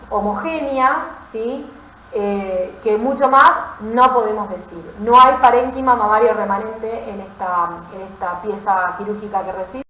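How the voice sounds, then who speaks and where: Woman, Argentine accent, higher-pitched voice, didactic medical lecturer, explanatory delivery, brief tag questions, echoey room recording. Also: a bit bright, slight background noise. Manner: didactic, often checking understanding with short tag questions. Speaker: pathology lecturer, physician.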